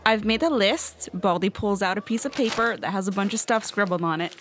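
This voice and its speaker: A woman's voice: medium pitch, brisk, fairly bright tone, a little hiss or hum. A woman playing a tabletop game, casually improvising her character's lines and actions. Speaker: player in a tabletop role-playing podcast. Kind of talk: casual improvised role-play.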